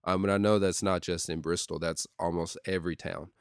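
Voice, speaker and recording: Deep-voiced man, low and dry. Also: brisk, clean tone, no room sound. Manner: steady and conversational with many ums and uhs. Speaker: youth pastor. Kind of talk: casual solo podcast talk.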